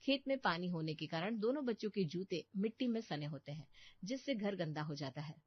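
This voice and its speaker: A woman's voice: fairly low pitch, expressive, brisk, slightly rough, no room sound, muffled recording. A woman giving scripted storytelling narration for a family tale.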